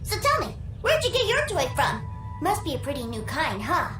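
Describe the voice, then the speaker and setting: An extremely high, lively little boy's voice for a video game. He is earnest, proud and excitable, like a child showing off a beloved toy. The sound is echoey and a bit distant, with music behind it. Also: normal speed. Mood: proud, chatty. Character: eager, proud, innocent little boy who adores toys. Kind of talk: video game character acting. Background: loud game music.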